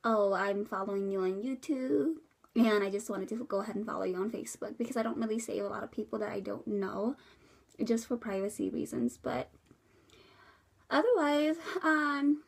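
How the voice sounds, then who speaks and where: A woman's voice: mid-range voice, moderate pace, creaky. A young woman from the US Midwest, congested from a cold, giving a rambling casual update to camera with many fillers. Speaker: vlogger.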